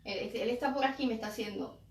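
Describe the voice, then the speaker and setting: A woman's voice: a little high, quick pace, gravelly. A Puerto Rican woman speaking Spanish with a Puerto Rican accent in a conversational discussion, as a guest. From Puerto Rico. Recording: echoey room, distant microphone.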